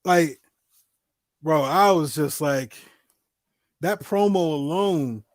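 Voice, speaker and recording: A man's voice: fairly high pitch, slow pace, no echo, clean studio sound. A man in his forties hosting a casual talk show, speaking emphatically and repeating himself to drive points home. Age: 40s. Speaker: host.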